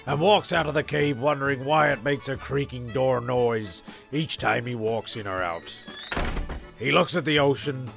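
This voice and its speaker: A very gravelly, crackling voice over faint music: a man as the narrator of a castaway comedy. He is deadpan and wry, with a forceful edge as he tells the tale. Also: medium pitch. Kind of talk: scripted comic narration.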